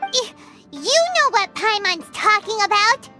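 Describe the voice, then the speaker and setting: A woman voicing a tiny, excitable, childlike fairy companion in a video game, squeaky and exclamatory, with music behind. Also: measured pace, heavily acted. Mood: startled, indignant. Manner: speaks of herself in the third person, exclamatory. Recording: loud music under the voice.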